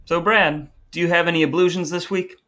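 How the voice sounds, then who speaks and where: A man's voice: on the high side, fairly even intonation, a little fast, pushed and bright. A man hosting a podcast in a conversational, partly scripted style, with a wry, wordy, mock-formal way of talking. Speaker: podcast host.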